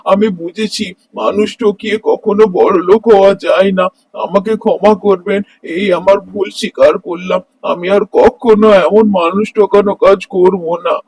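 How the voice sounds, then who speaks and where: A man plays a crafty, greedy street food seller from a cartoon morality tale. His voice sits fairly high for a man, with a stubborn, defensive hawker's patter. The recording is close and dry. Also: darkish tone, breathy edge, no room sound, low-quality recording. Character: greedy, dishonest male street snack vendor.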